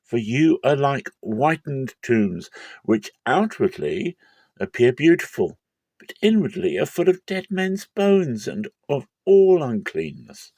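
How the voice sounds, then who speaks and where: Man acting a scathing, indignant prophet, swooping pitch. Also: sing-song, slow, a little mellow, no room sound, heavily acted. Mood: scathing indignation. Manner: emphatic, declamatory, swooping delivery. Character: stern prophet-teacher denouncing hypocrites, indignant and scathing.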